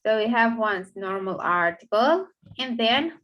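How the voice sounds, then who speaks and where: A woman's voice: on the high side, expressive, measured pace, dull audio. A woman with a Romanian accent, a native Romanian speaker, teaching a grammar lesson in English, explaining step by step and thinking aloud with fillers. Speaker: language teacher.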